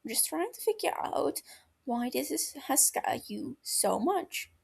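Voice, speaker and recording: A woman voicing a calm, concerned young man in an audiobook, speaking softly and carefully to placate a friend. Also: high-pitched, unhurried, vocal fry, voice pushed up, heavily acted. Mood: soothing.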